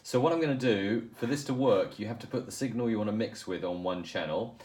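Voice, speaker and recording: A man presenting a casual, hands-on audio software tutorial as a producer. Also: fairly low pitch, fairly quick. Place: indoor room, not a studio. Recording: roomy echo with noise and clicks.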